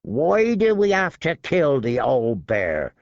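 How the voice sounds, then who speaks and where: A man voicing a huge, slow-witted, bearded soldier in an audiobook, speaking in a simple, plodding way. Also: mid-range voice, huge pitch swings, vocal fry, no room sound, highs cut off, heavily acted.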